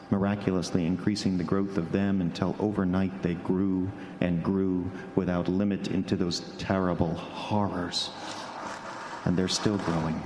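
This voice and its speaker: Echoey live radio play, guy acting a guilt-wracked man losing it in horror, stammers and repeats, lowish voice. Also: a little mellow, natural voice. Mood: bitter, dread. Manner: halting, stammering, repetitive dramatic delivery. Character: anguished, guilt-ridden man unraveling in horror and despair. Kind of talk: old-time radio horror monologue acting. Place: stage before a live audience. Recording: very noisy, echoey live stage recording.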